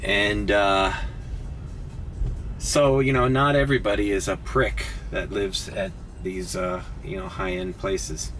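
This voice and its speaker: A man's voice: on the low side, slow pace, soft background music. A young man, a rideshare driver, casually telling stories in his car early in the morning, sounding groggy and swearing freely. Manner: swears casually, animated storytelling. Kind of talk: casual driving vlog. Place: inside a car.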